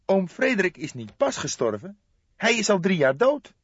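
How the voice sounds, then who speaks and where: Audiobook role: male reader as hesitant, distraught young man begging for help. High, swooping, emotional, trembling delivery. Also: sing-song, moderate pace, breathy edge, no room sound, lo-fi sound, full character voice. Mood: agitated. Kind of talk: audiobook character voice.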